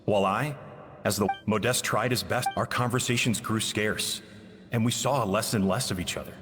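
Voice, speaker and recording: A man's voice: lowish voice, moderate pace, close to an everyday voice. An actor playing a sorrowful, self-loathing man reading out a confession in a solemn, wistful game monologue. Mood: mournful, wistful. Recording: long hall reverb under prominent music. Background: music.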